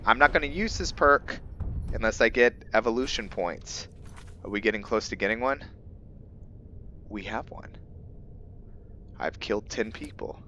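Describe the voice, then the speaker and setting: A man giving casual let's play commentary as he roleplays, rambling and halting as he thinks aloud. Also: lowish voice, pushed and bright, slight background noise. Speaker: gaming video host, roleplayer.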